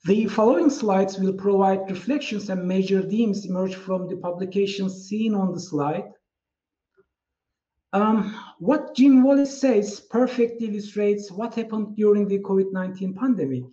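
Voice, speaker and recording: Man, Turkish accent, higher voice, mellow tone, conference keynote, muffled echoey room. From Turkey. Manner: measured, reads from slides. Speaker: keynote speaker.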